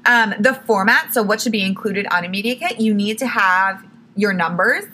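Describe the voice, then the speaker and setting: A young woman hosts her own podcast in a loose, chatty way, full of "like" and quick self-corrections. Her voice is bright and clear, with a little hoarseness creeping in. A faint hum of the room sits underneath. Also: mid-range voice, lively intonation. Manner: chatty, self-correcting, says "like" and "literally" a lot. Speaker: podcast host. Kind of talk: casual solo podcast tips.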